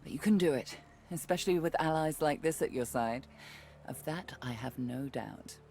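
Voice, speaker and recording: An actress playing a stern, resolute woman guide in a video game, speaking with deliberate, emphatic weight. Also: deep voice, a little mellow, a little hiss or hum. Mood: resolute, encouraging. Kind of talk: video game character acting.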